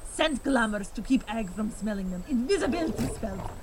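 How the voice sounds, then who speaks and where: A woman's voice: a little high, animated, normal speed, noisy background, natural voice. A woman acting in an audio drama as a protective female vampire, speaking urgently and dramatically. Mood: urgent, commanding. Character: commanding female vampire, fierce and protective friend.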